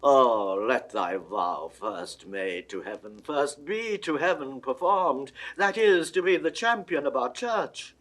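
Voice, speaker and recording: An actor playing a stern, imperious cardinal, declaiming in measured, commanding classical Shakespearean radio-drama style. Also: male voice, mid-range voice, expressive, a bit bright. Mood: insistent, reasoning. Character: stern imperious Roman cardinal, threatening churchman. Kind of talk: 1960s classical Shakespearean audio drama acting.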